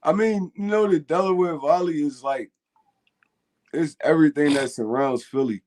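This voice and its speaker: A man's voice: fairly high pitch, moderate pace, darkish tone, slight background noise. A man, co-hosting a fan call-in show in casual, slangy chat and laughing often. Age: 20s.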